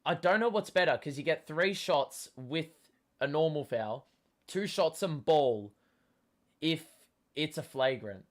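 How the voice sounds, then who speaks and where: A young man hosts a live basketball watchalong, his voice worn hoarse and cracking. He speaks slowly and a little high, swearing freely and bursting into yells when a play swings. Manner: excitable, swearing, shouts at big plays. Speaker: host.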